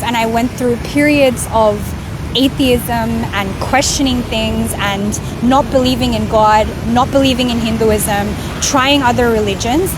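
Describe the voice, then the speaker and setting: A young woman, raised in Australia and born in India, telling her spiritual story in an earnest, animated vlog, filmed outdoors on a street. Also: fairly high pitch, noisy background. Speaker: vlogger. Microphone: camera filming herself. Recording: music clearly under the voice. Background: music.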